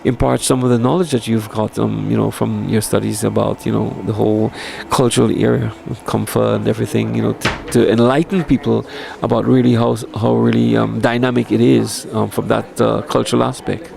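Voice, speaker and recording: A man's voice: lowish voice, level tone, a little fast, vocal fry, hardly any pauses, darkish tone. A man hosting a casual interview, asking questions in a friendly, conversational way. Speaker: interviewer, host.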